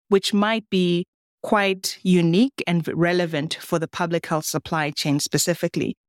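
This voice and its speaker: Low-voiced woman hosting a podcast. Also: no room sound, clean studio sound. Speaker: podcast host. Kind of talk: scripted podcast introduction and interviewing.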